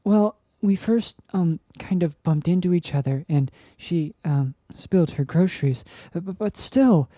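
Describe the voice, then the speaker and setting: A woman voicing a shy, gentle young male pegasus pony who hesitates and stammers in a fan-made audio story. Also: on the low side, expressive, a little slow, few pauses, no echo. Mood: flustered. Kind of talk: fan fiction audio drama.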